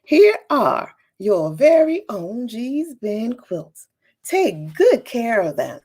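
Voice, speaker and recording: Woman as a kind, husky storybook grandmother. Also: fairly high pitch, wildly swooping pitch, slow pace, warm tone, exaggerated voice. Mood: fond, reminiscing. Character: kind, proud old Southern grandmother.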